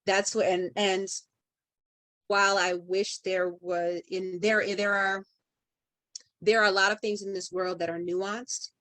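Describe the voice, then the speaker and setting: A woman's voice: medium pitch, level tone, unhurried, a bit bright, no room sound. A woman speaking as a podcast guest in conversation, emphatic and building her points through repeated phrases.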